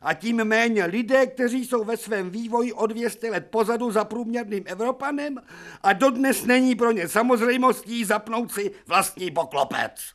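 An actor playing an imperious, irascible older professor, declaiming sarcastic rhetorical questions in a theatrical radio drama. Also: male voice, extremely high pitch, fairly bright tone. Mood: outraged. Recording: music faintly behind the voice.

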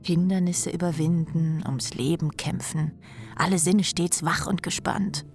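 An actress in a radio play playing a clever, affectionate small woman, speaking intimately and gently probing. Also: fairly low pitch, fairly even intonation, unhurried, soft background music. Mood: dreamy. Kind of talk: German radio play acting.

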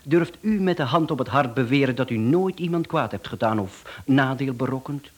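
A man's voice: medium pitch, expressive, normal speed, natural voice. A man with a Flemish accent playing a smug, chatty professional hitman in a radio drama, speaking with mocking politeness and self-assurance. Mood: mocking, self-assured.

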